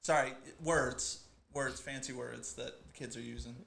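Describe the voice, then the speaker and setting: A man's voice: mid-range voice, fairly even intonation, unhurried, vocal fry, fairly bright tone. A man co-hosting a podcast, chatting casually with wry, teasing asides. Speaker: podcast co-host. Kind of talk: casual chat.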